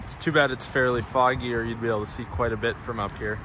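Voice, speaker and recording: A man's voice: medium pitch, steady tone, fast, over loud music. A young American man narrating a casual road-trip vlog in a matter-of-fact way. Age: young adult. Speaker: vlogger. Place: in a car and outdoor parking lots. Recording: noisy car and outdoor ambience.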